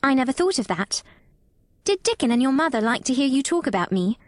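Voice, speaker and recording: Woman as a high-voiced, proper little rich girl. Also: sing-song, a little fast, no room sound, studio quality. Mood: curious. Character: reserved, curious, imperious little rich girl.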